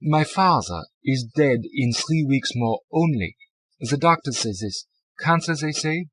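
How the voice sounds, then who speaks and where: Man acting a meek German gentleman, halting and husky. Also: medium pitch, animated, dry recording, voice pushed up, poor audio. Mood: tearful pleading. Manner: halting, pleading, broken English. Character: scheming fugitive man posing as a meek grieving German.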